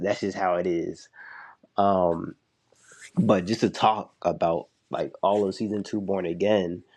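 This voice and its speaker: A man's voice: deep voice, a little mellow. A young man giving a loose, chatty spoken review, full of "like" and restarts, while a little sick. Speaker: show reviewer.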